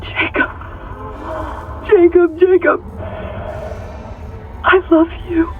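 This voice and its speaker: A woman's voice: very high-pitched, level tone, drawn-out words, exaggerated voice. An actress playing an earnest, committed woman scientist recording audio logs in a sci-fi horror game. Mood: grieving, resolute. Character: committed female hydroponics scientist facing deadly disaster. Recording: tinny comms-like log, music behind.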